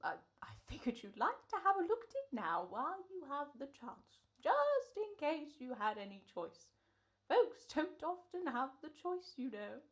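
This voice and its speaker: Old gentleman salesman for radio horror comedy, played by a woman: very high, animated, polite, rambling. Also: moderate pace. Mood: polite salesmanship. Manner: rambling, courteous, folksy patter.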